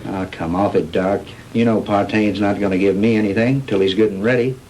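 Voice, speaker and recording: A man's voice: lowish voice, steady tone, fairly quick, a little mellow, natural voice. A man playing a brash, wisecracking newspaper reporter with breezy, slangy, insistent delivery in 1960s B-movie style. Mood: pressing, skeptical. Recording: noisy old 1960s film soundtrack.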